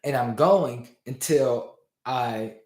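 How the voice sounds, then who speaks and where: A man's voice: medium pitch, slow. Male business coach, livestream host, casual hype delivery, slightly strained voice, roomy reverb. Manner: energetic and informal, addresses viewers as "y'all" and "fam". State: strained voice after losing it. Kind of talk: casual motivational livestream talk. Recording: roomy echo.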